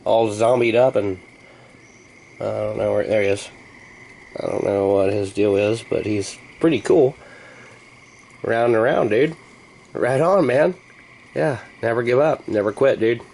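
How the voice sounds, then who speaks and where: A man's voice: on the low side, measured pace, gravelly, pauses often, darkish tone, slight background noise, low-quality recording. A man from the United States chatting casually as he vlogs about his hobby, in a playful, slangy and mildly profane way. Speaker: hobbyist vlogger. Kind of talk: rambling casual chat to camera.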